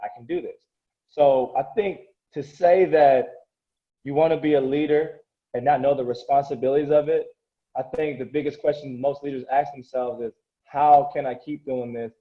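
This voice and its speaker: A man's voice: mid-range voice, fairly even intonation, normal speed, highs cut off. A man who coaches and grew up speaking Korean, talking earnestly and motivationally in a casual interview, often restarting his sentences.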